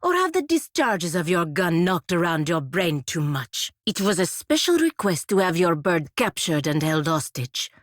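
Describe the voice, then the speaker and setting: Game role: proud godlike female warrior, low register, blunt and disdainful, very dry close recording. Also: sing-song, normal speed, few pauses, no echo. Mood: dry, curt. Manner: disdainful, sardonic, clipped and cutting. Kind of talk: video game character dialogue.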